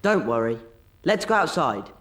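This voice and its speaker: An actor playing a steady, loyal schoolboy in a video game, plain and encouraging. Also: male voice, fairly high pitch, moderate pace. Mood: reassuring, resolute. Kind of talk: video game character acting.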